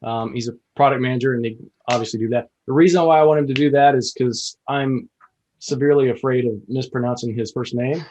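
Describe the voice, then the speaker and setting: A man's voice: mid-range voice, fairly even intonation, fast talker, a little mellow, slight background noise, low-quality recording. A man co-hosts a podcast in loose, rambling conversation. He talks with self-deprecating humor and plenty of fillers. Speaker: co-host. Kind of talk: casual podcast chat.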